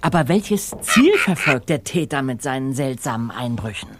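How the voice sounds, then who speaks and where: An actor playing an impulsive, eager young detective in an audio drama, talking excitably. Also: mid-range voice, moderate pace. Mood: curious, surprised. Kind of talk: German audio drama acting.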